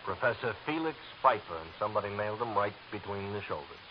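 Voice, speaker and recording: An actor playing a blunt, businesslike police lieutenant in a 1940s radio drama. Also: male voice, lowish voice, animated, muffled recording, noisy background, natural voice. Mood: brisk. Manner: brisk, blunt. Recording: old radio recording with busy crowd.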